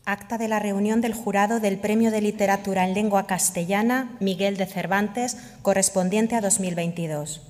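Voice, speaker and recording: A woman's voice: medium pitch, steady tone, normal speed, few pauses, a little mellow. A woman formally reads out official minutes at a ceremony. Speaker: official reading jury minutes. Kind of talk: formal document reading. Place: large indoor hall. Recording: reverberant ceremony hall.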